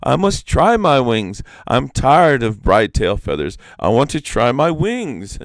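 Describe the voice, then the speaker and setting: A man's voice: medium pitch, very animated, a little slow, no echo, clean studio sound, voice pushed up. A man voicing a vain, restless trickster bird from a folk tale, pleading and exclaiming impatiently. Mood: restless, excited.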